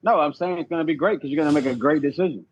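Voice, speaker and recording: Husky higher-voiced man, slightly muffled audio. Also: rapid-fire. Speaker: podcast guest. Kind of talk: casual sports debate.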